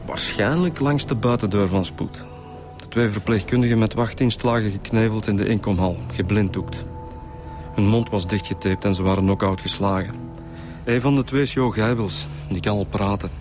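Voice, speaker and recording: A methodical male detective, played by an actor in a Flemish radio drama. His voice sits fairly low and he speaks in a factual way over music. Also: moderate pace, close to an everyday voice. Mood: factual, grave. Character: matter-of-fact male homicide detective reporting details. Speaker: inspector.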